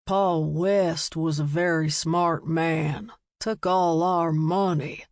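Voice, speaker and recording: A woman voicing a stubborn, worried small-town man in an audiobook, speaking gravely and deliberately. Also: low voice, steady tone, darkish tone, dry recording, studio quality.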